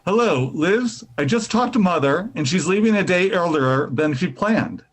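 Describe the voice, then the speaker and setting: A man playing a brisk, amiable husband in old-time radio comedy, matter-of-fact. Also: high-pitched, animated. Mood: brisk, cheerful.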